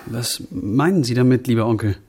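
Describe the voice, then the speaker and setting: A man playing a polite, naive young gentleman in a radio drama, with a slightly husky voice. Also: mid-range voice, fairly quick, lightly acted. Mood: bewildered, earnest.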